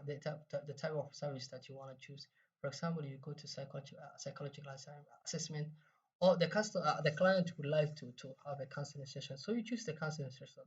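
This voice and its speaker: Halting young Somali man, muffled and distant. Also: on the high side, darkish tone. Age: 20s. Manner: halting, repeats words and phrases. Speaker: university student presenting a project. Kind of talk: semi-scripted project presentation.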